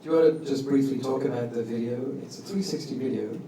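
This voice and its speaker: A man hosts a live talk in an informal, fast-moving way, at a middle pitch. His voice comes through a very reverberant room, away from the microphone. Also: mellow. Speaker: panel host. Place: indoor event room with audience. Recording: very echoey, noisy room, distant microphone.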